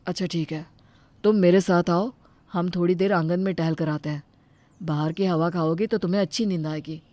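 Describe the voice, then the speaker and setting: A woman voicing a young married son who speaks in a brisk, plain-spoken way in a cartoon story. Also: lowish voice, fairly even intonation, dark tone, no room sound, natural voice. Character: young married son, curt then caring. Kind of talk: cartoon dubbing.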